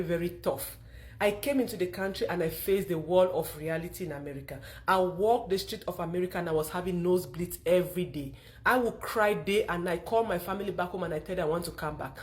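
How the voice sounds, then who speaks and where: A middle-aged woman with a Cameroonian accent gives a motivational testimonial pitch, speaking emphatically with repeated phrases. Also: a little mellow, a little hiss or hum. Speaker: online marketer telling her own story.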